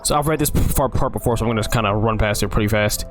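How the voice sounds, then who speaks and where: A man's voice: lowish voice, very fast, soft background music. A man casually playing through a dating game on his channel, joking and swearing as he reads. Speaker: gaming video creator.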